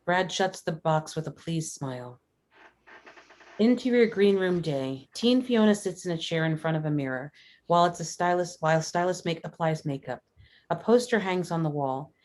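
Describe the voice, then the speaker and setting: Low-pitched woman reading screenplay directions. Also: lively intonation, normal speed, a little mellow, rough recording. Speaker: reader of stage directions. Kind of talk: scripted screenplay narration.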